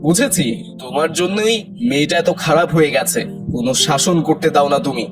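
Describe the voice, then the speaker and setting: A man's voice: fairly high pitch, animated, brisk, music in the background, lightly acted. A man playing a stern, indignant, pious middle-aged father with a dramatic scolding manner in a moral cartoon. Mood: stern, angry.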